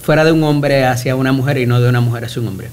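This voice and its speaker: A man's voice: medium pitch, fairly even intonation, fast, darkish tone, a little hiss or hum. A man hosting an interview, asking pointed, probing questions and pressing the guest with hypotheticals. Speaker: interview host.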